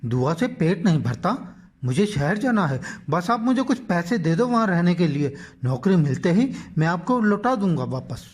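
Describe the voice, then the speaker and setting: A man playing an ambitious young village son who pleads insistently, husky-voiced, in a dramatised moral story. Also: high-pitched, big pitch swings, normal speed. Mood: pleading.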